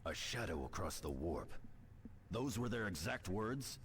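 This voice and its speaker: An actor playing a brooding, suspicious veteran scout sergeant with terse, ominous delivery in a video game. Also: male voice, sits low, big pitch swings, measured pace. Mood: brooding, wary. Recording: game audio with clear background noise.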